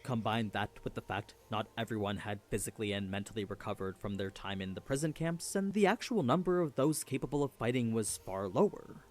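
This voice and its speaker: A man's voice: lowish voice, brisk, hardly any pauses. A man reading a scripted story recap as a narrator.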